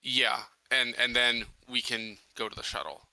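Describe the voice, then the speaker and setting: A man with a very bright, forward voice talks through his moves as a player in a tabletop game. He is measured and a little hesitant, with a dry, close sound. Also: medium pitch, little pitch movement, vocal fry, no room sound, low-quality recording. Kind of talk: casual in-character roleplay.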